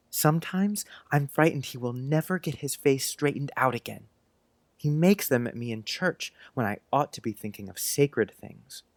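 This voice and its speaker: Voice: mid-range voice, no room sound, natural voice. An actor playing an earnest, precocious young schoolboy who chats dreamily in quaint grown-up phrases, in a dramatized audiobook. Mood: earnest, troubled. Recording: music under the voice.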